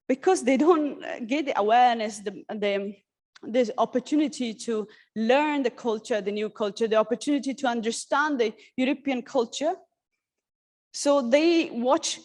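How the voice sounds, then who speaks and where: A woman from Syria giving an earnest, halting personal talk at a workshop, with many restarts and fillers. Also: on the high side, a little mellow. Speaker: conference speaker. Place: conference hall.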